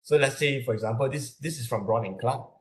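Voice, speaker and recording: A man with a Malaysian accent giving an explanatory webinar lecture, speaking haltingly and often repeating his words as he works through the material. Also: medium pitch. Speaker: academic lecturer.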